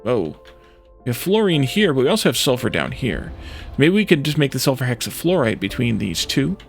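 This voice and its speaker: A man's voice: medium pitch, sing-song, brisk, gravelly, soft background music. A man giving casual let's play commentary, thinking aloud in a hesitant, self-questioning way.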